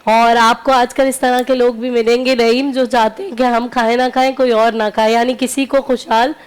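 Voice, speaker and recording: A woman with a high-pitched voice teaches religion as a talk show guest. Her delivery is didactic, laying out points one by one and repeating them for emphasis. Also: level tone, normal speed, clean tone. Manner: didactic, explaining in numbered points with repetition. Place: TV broadcast studio.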